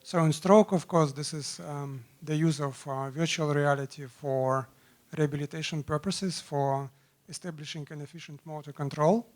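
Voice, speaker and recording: A man's voice: on the high side, steady tone, moderate pace. A man on a panel describing his research interests in a hesitant way, with many fillers. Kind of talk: self-introduction on a panel.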